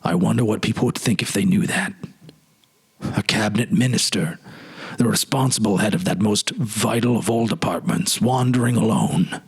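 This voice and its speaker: A man voicing an anguished cabinet minister in a dramatic story reading, confessing his secret grief in halting, hushed, husky tones. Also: lowish voice, pitched below the performer's own voice, exaggerated voice, low-quality recording. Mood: grieving, bitter.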